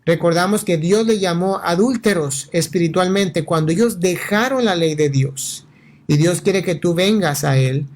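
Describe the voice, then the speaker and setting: A male pastor giving a Bible teaching on the radio in an earnest, steady way, often checking in with "right?" and restarting words. Spanish is not his first language. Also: fairly high pitch. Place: radio studio.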